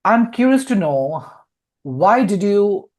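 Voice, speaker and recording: Guy hosting a poetry chat, really slow and deliberate, repeats himself. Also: high voice, big pitch swings, a little mellow. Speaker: host, poet and publisher interviewing an author. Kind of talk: literary interview.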